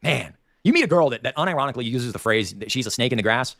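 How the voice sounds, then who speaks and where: A man's voice: mid-range voice, fast talker, no room sound, studio quality. Nasal Ohio Appalachian man ranting. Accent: Dayton, Ohio Appalachian. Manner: opinionated, digressive, animated ranting. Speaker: livestream host. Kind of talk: rambling livestream commentary.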